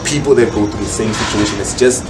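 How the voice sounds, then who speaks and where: A man's voice: lowish voice, fast talker, loud music behind the voice. A South African man speaking casually and reflectively as a podcast guest, explaining himself at length and repeating words for emphasis. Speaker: guest musician, rapper. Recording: echoey room, loud background noise.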